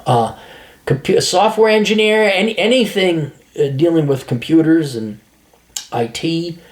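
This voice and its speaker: A man's voice: on the high side, measured pace. A man hosting a podcast, giving blunt, profane career advice in a rambling, casual monologue full of restarts.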